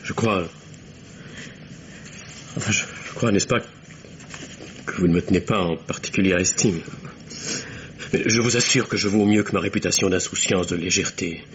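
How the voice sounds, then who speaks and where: Deep male voice, actor as guilt-ridden young bachelor, halting, earnest, old radio play, muffled sound. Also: fairly even intonation, close to an everyday voice. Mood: shaken, earnest. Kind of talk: 1950s French radio drama acting. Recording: dull lo-fi old radio, noisy.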